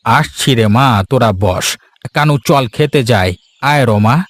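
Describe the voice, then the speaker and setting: A man's voice: medium pitch, expressive, a little slow, dry recording, lightly acted. A man playing a caring, worried village father, earnest and fatherly, in a children's fairy-tale cartoon.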